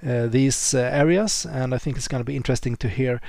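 A man speaks as the host of an interview podcast, in a casual conversational style. His voice sits at a medium pitch and the sound is dry, with little room in it. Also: quick pace, creaky, a little mellow, no room sound.

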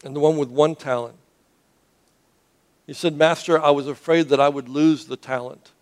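A man's voice: fairly high pitch, steady tone, normal speed, a little mellow. A man who grew up in Cuba, preaching a sermon to a congregation in an earnest way, often restarting words and asking the audience questions. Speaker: pastor.

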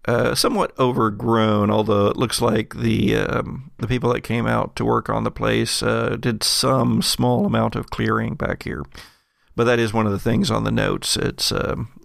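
A man narrates a tabletop roleplaying game with heavy vocal fry. He speaks slowly and deliberately, feeling his way through descriptions, and the sound is close and dry. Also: mid-range voice, a bit bright, dry recording. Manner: halting, deliberate scene description. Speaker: game master.

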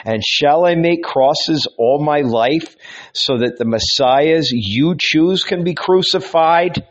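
Guy doing a tortured young carpenter, anguished pleading outbursts, slow, dull lo-fi sound. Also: a little high, pitched above the performer's own voice. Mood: defiant. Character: tormented young male carpenter arguing with a voice. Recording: dull lo-fi recording.

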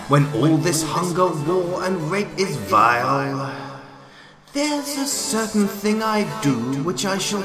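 Audio drama villain: a mad, mocking clown, high swooping theatrical delivery, loud music beneath. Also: male voice, roomy sound. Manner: sing-song, theatrical, mocking.

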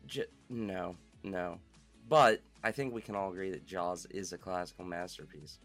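A man's voice: low voice, normal speed, a bit bright, faint music behind. A man co-host in scripted comic promo banter. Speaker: podcast co-host.

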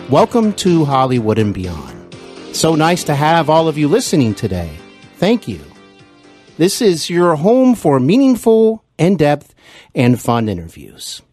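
A man hosting an interview podcast, speaking in a courteous, deliberate and effusive way. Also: fairly high pitch, sing-song, darkish tone, soft background music. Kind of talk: scripted introductions and polite interviewing.

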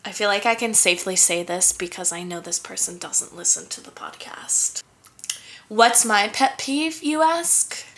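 A woman's voice: mid-range voice, animated, a little slow, fairly bright tone. A woman co-hosting a comedy podcast, chatting casually in a teasing, sassy way. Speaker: podcast co-host.

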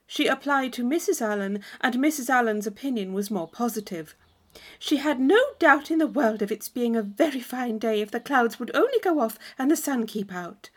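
A woman reading a classic novel aloud as an audiobook narrator, in expressive scripted storytelling. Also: a little high, moderate pace. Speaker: audiobook reader.

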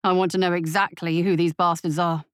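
A stern, defiant male police captain as a woman narrator acts him out in a fantasy audiobook. His voice is pitched low and dry, curt and commanding, full of authority. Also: little pitch movement, a little fast, clean tone, no echo, close to an everyday voice. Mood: tense, demanding.

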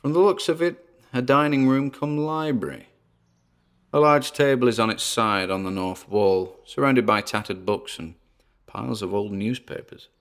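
A man playing an uneasy paranormal investigator in an audio drama, muttering hesitant observations onto tape as he explores, with a gravelly voice. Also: mid-range voice, moderate pace, natural voice. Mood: curious, observant. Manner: hesitant, muttering observations with sighs and trailing asides. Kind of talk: audio drama found-recording monologue.

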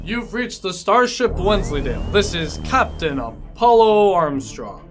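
Guy acting a brash space captain hero, high slow drawn-out swagger, loud music behind. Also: very animated. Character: cocky, breezy male starship captain, action hero. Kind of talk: sci-fi audio drama acting.

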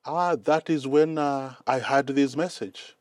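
A man's voice: mid-range voice, measured pace, darkish tone, no echo. Kenyan guy being interviewed, earnest, kinda repeats himself, dry close sound. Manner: earnest, repeats words while finding them. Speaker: guest, mission director. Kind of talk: conversational interview.

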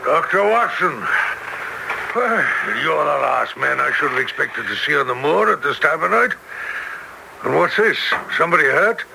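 A man's voice: on the high side, expressive, normal speed, bright, forceful tone, full character voice. A man playing a sly, probing villain in classic radio drama style. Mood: feigned surprise. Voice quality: gravelly, creaky. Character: sly, smooth-talking male English villain on the moor. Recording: noisy vintage radio recording.

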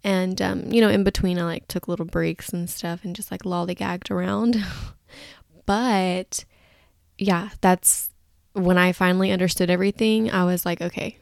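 A woman's voice: fairly low pitch, fairly even intonation, measured pace, gravelly, a little mellow, no echo, studio quality. A woman hosting a podcast solo in a casual, chatty, rambling and candid way, with a slightly groggy voice. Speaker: podcast host.

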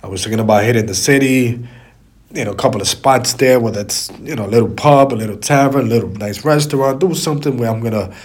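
A man with a raspy, stuffy voice from a cold, talking casually and in a rambling way as a solo podcast host, repeating phrases for emphasis. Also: mid-range voice, fast talker. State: stuffy, slightly hoarse from a cold.

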